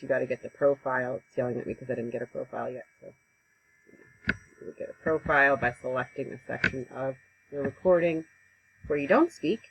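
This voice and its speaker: Relaxed woman teaching over a headset. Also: very deep voice, creaky, slight background noise, poor audio. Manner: relaxed, conversational, frequent ums. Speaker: instructor. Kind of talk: screen-recorded software tutorial. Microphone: headset mic.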